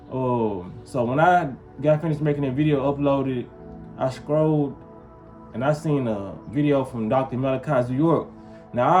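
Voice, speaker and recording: A man's voice: medium pitch, darkish tone. A man from Memphis talking casually to his viewers, rambling and often checking in with them. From Memphis, US South. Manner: rambling, keeps asking "you feel what I'm saying". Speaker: vlogger. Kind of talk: casual talk to camera.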